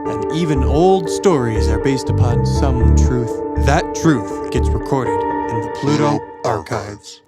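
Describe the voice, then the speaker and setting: An actor playing a grave, mysterious narrator who reads a dramatic scripted introduction in a portentous, deliberate way. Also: male voice, mid-range voice. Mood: ominous. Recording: loud music under the voice.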